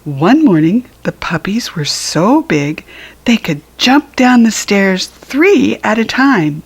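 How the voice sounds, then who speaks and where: Woman, American accent, children's storybook narration, unhurried pace, expressive, deliberate enunciation. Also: mid-range voice, husky. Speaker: children's book reader.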